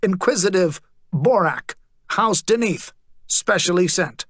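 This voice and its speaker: A man's voice: high-pitched, animated, takes it slowly, a bit bright, breathy edge, no room sound, voice pushed up, heavily acted. A man doing a haughty snarling inquisitor. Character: haughty wire-thin male inquisitor.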